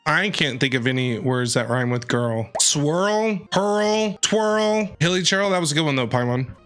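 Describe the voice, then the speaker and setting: Animated man chatting over a game. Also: a little high, a little slow, faint music behind. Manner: animated, chatty, reacting aloud. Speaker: game streamer. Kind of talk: casual let's-play commentary.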